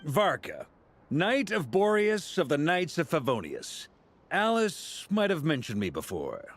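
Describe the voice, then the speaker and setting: A man playing an easygoing, slightly awkward middle-aged knight in an anime game, with a gravelly voice. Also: a little high, huge pitch swings, unhurried, slight background noise. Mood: introducing himself.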